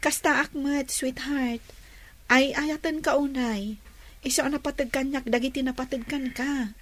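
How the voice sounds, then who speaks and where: A woman's voice: high voice, steady tone, a little slow, fairly bright tone. An actress playing an earnest, stubborn young woman in love in a radio drama. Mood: sweet, affectionate.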